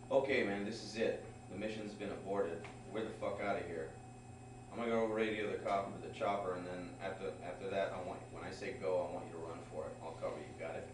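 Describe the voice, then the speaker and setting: Man reading low-voiced tough protective buddy, echoey. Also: steady tone, a little fast, close to an everyday voice. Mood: urgent. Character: tough, protective young male mercenary buddy. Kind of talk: informal script table read. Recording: echoey room, distant mic, noisy background.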